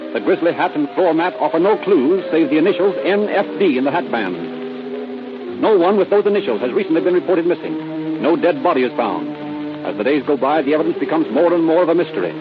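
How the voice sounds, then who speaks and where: A man's voice: fairly high pitch, expressive, fast. A man with an American accent narrating a 1930s radio drama in a formal, dramatic announcer's style. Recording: tinny old radio broadcast. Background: music.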